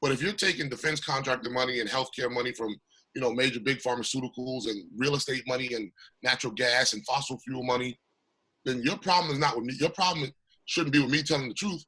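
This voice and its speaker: Raspy, husky-voiced man, emphatic political candidate, bright forward tone, recovering from illness. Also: medium pitch, fast, poor audio. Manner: passionate, emphatic. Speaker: congressional candidate, guest. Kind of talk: remote interview.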